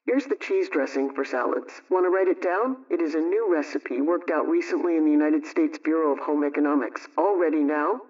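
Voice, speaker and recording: A man presenting a scripted homemaking radio program, reading out advice in a measured, instructional way. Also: on the high side, a little mellow. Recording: muffled, distant radio sound.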